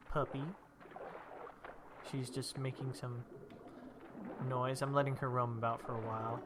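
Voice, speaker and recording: A man's voice: mid-range voice, pushed and bright, a little hiss or hum. Stuffy-nosed young man chatting through gameplay. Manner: rambling, chatty storytelling while playing. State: stuffy from allergies. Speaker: gameplay video host. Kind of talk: casual gameplay commentary.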